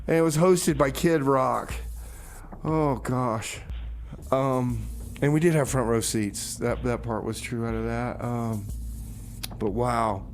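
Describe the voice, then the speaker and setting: A man hosting a music radio show, rambling casually in a folksy, halting way full of exclamations. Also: medium pitch, steady tone, a little hiss or hum. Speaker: radio host.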